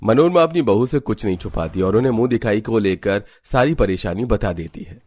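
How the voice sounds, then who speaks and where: A man's voice: on the low side, animated, brisk, darkish tone. A man reading scripted narration for a family cartoon story.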